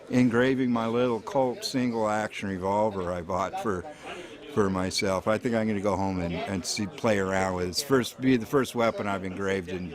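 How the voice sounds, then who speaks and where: A middle-aged or older man chatting casually in an interview, folksy, rambling and hesitant. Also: lowish voice. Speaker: engraver. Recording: busy crowd chatter behind.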